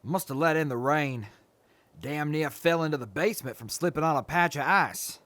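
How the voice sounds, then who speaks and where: A man's voice: on the high side, moderate pace, a bit bright, no echo, lightly acted. A man playing a helpful, cautious survivor in an audio drama. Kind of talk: post-apocalyptic audio drama acting.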